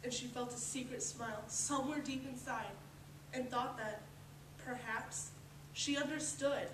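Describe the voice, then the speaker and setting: A woman's voice: on the high side, fairly even intonation, measured pace. A woman giving scripted storybook narration in a readers theatre performance. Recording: roomy, far mic, noisy background.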